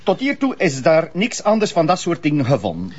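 A bumbling Flemish cop, husky. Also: male voice, on the high side, wildly swooping pitch, a little fast, a little mellow, exaggerated voice. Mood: rambling. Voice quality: rambling, hesitant, folksy. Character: chatty, bumbling Flemish police officer. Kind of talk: radio play acting. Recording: dull lo-fi tape.

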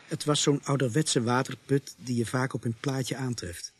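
A man playing a sombre police sergeant who narrates a radio play in a grave, deliberate, husky voice. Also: medium pitch, steady tone, close to an everyday voice. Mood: grim, measured. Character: sombre male police sergeant recounting a grisly night.

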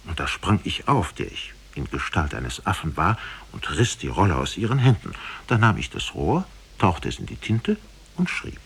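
A man's voice: low-pitched, sing-song, normal speed, gravelly, a bit bright, breathy edge. A man narrating a fairy tale in solemn, dramatic 1950s radio style with formal diction.

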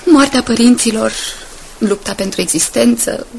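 Sweet modest young wife, radio play, voice a bit high, noisy old tape. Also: female voice, creaky. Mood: sincere, wistful. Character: devoted, modest young wife, attentive and self-effacing. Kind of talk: Romanian radio drama acting.

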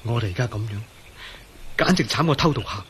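A man's voice: medium pitch, expressive, a little fast, a little hiss or hum, natural voice. A man acting a glum, grumbling fugitive in a Cantonese radio drama, with a Hong Kong accent.

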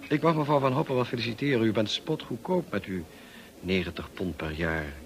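An actor playing a brusque, dryly witty upper-class gentleman in a radio play, clipped and commanding, on a slightly noisy old recording. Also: lowish voice, lively intonation, a little fast. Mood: dry, teasing. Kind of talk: radio drama acting.

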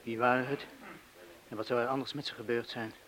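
A man's voice: medium pitch, level tone, fairly bright tone, lightly acted, rough recording. Actor as a hesitant, haunted man. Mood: haunted, absorbed. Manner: hesitant, absent, halting. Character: haunted, distracted, dishevelled man with stolen stethoscope. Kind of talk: radio play acting.

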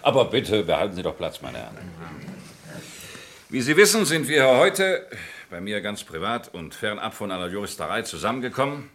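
An actor playing a pompous senior partner of a law firm, speaking in a formal, ceremonious way in an old radio crime play. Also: male voice, on the low side, sing-song, moderate pace, a bit bright. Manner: formal, ceremonious speech-making. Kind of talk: 1950s-80s German radio crime play acting.

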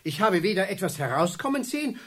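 A man's voice: high voice, big pitch swings, fast, a bit bright, slightly rough, heavily acted. A man playing a polite, easily flustered customer in a children's radio play, stammering and apologetic. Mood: confused.

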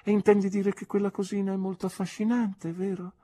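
A man voicing a shrewd, gently scolding elderly aunt in an audiobook, with clipped, knowing remarks. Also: sits high, level tone, fairly quick, voice pushed up. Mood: teasing. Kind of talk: audiobook character voice.

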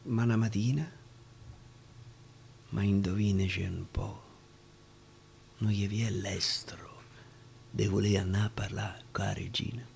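Un uomo con accento romano che racconta versi in dialetto in modo teatrale, passando dal sommesso al declamato. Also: male voice, on the low side, steady tone, a little slow, gravelly, pauses often, a little hiss or hum. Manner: dramatic, swinging between hushed and declaimed. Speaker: actor, storyteller. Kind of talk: theatrical dialect verse storytelling. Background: music.

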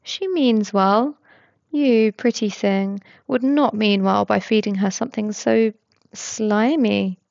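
A woman's voice: a little high, level tone, slow pace, creaky, few pauses, dark tone, no echo, muffled recording. A woman playing a sly, teasing young man.

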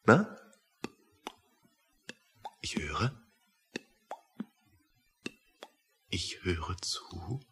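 An actor in a radio drama playing a sinister, needling man, unnervingly calm and mock-polite, with a husky, creaky voice and insistent manner. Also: sits low, extremely slow, heavily acted.

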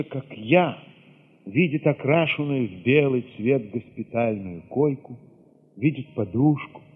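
A man with a husky voice reads a literary first-person story theatrically, moving between hushed passages and sudden swells. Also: fairly low pitch. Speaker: narrator reading an audio play. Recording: reverberant, slightly dull sound.

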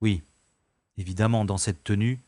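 A man's voice: lowish voice, steady tone, normal speed, mellow, no room sound, natural voice. A man playing an ironic, nonchalant special agent who narrates his own adventure in an audio drama. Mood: calm. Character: wry, cocky special agent, adult man. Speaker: narrator-hero.

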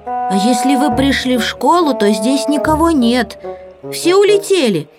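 A woman as a polite, measured little boy. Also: high-pitched, big pitch swings, over music, voice pushed down. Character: polite, naive little boy made of twig. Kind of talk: children's audio play acting.

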